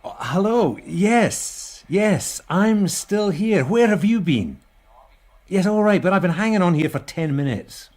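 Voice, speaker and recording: A man's voice: high voice, animated, measured pace, darkish tone. A man playing a grumpy, busy middle-aged detective sergeant in a radio drama, with a dry, sarcastic, exasperated manner.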